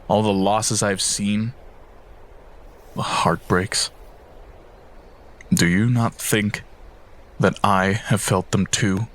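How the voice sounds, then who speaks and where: A man performs a weary immortal guardian in a fantasy audio drama. The voice is low and the delivery very slow and measured. Sorrow and solemn resolve weigh on the words. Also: a bit bright. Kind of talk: fan audio drama acting.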